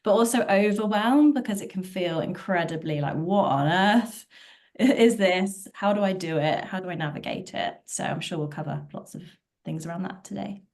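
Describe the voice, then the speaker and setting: A woman's voice: on the low side, lively intonation, moderate pace, darkish tone. A woman, a travel coach, speaking enthusiastically with frequent fillers on an online panel.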